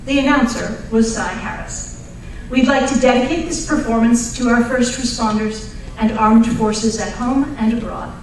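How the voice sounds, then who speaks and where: A woman's voice: on the high side, normal speed. Woman announcer in a very echoey hall. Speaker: announcer of a live radio play re-creation. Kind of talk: scripted old-time radio announcing. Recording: very echoey live hall, distant mic, faint noise.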